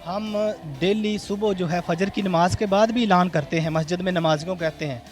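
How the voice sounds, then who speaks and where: A man's voice: sits high, moderate pace, faint music behind. A man with a Pakistani Punjabi accent giving explanatory interview answers, going step by step and repeating phrases. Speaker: mosque organiser being interviewed. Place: on location at a mosque.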